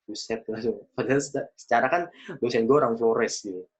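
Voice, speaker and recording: A man of about twenty, hosting a casual podcast chat, chatty and quick to laugh. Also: medium pitch, slightly rough. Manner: chatty, laughs often, loose slangy talk. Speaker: podcast host. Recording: dull lo-fi recording.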